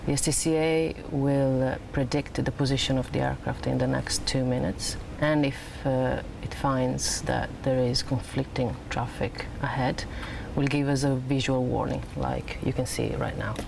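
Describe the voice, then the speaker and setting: A man's voice: mid-range voice, flat delivery, normal speed, gravelly, few pauses. A man working as an air traffic controller, explaining systems in a technical, matter-of-fact way. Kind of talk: explanatory demonstration.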